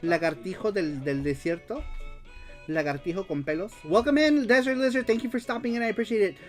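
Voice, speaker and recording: A man with a fairly high voice hosts a live stream, reading out viewer comments in a loose, chatty way. He laughs a lot, curses freely and slips easily into Spanish. Soft music plays faintly behind him. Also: fairly quick. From United States. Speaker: host of a live-streamed retro gaming show.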